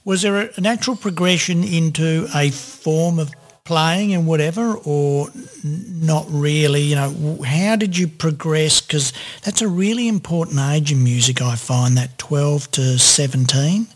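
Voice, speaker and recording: A man's voice: a little high, unhurried. A middle-aged or older man with an Australian accent, hosting a casual interview. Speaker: podcast host, interviewer.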